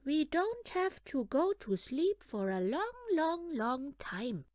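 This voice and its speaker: Woman doing a squeaky excitable bunny child. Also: slow, no room sound, studio quality, exaggerated voice. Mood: stubborn. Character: excitable young rabbit kid, playful and stubborn.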